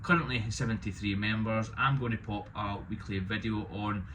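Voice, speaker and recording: Man in his thirties, lowish voice, loud and opinionated, casual vlog, faint background hum. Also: steady tone, normal speed. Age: 30s. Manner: loud, opinionated, self-deprecating. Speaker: business owner vlogging. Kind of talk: casual talking-to-camera vlog.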